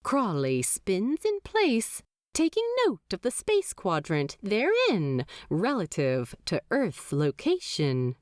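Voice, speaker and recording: Expressive female audiobook narrator, slow and very animated, dry close sound. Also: mid-range voice, dry recording. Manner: expressive, laughing often.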